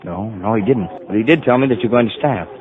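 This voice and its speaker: An actor playing a devoted, reassuring husband in a vintage radio drama. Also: male voice, lowish voice, quick pace, crowd noise, lightly acted. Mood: earnest, uneasy. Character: devoted, encouraging husband, earnest and anxious to reassure. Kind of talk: 1940s radio drama acting. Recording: narrow old radio broadcast, audience murmur.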